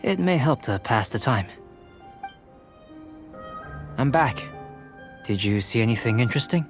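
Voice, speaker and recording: Man playing a young game alchemist, mid-pitched, composed, formal, analytical, precise diction, faint music underneath. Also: animated, close to an everyday voice. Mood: calm, explanatory. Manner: measured, precise, formal explaining. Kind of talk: video game character acting.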